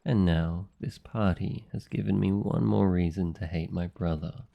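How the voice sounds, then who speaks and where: A man playing a devoted, jealous young demon familiar in love, speaking softly and intimately in an ASMR boyfriend roleplay. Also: sits low, fairly even intonation, moderate pace, creaky, lo-fi sound. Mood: reflective, bitter.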